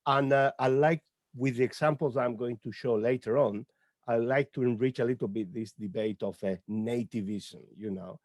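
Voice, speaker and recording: A man's voice: medium pitch, normal speed, a little mellow, no echo, studio quality. A man from Spain with a Spanish accent, a poet and educator speaking on an online panel in a hesitant way, with many filler sounds, over a video call. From Lorca, Murcia, Spain.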